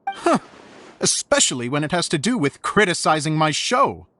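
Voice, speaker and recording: An actor playing a good-natured, passionate theatre director in a video game, speaking in an earnest, theatrical way. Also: male voice, on the high side, sing-song, normal speed, pushed and bright, a little hiss or hum. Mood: game, wry.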